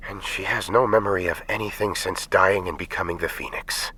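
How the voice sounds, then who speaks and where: An erudite, earnest beast-like hero in an audio drama. The voice is very low and husky, forceful, with formal, lively delivery. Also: male voice, fairly quick, exaggerated voice. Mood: grave, earnest. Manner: formal, articulate. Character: erudite, earnest blue-furred male scientist hero.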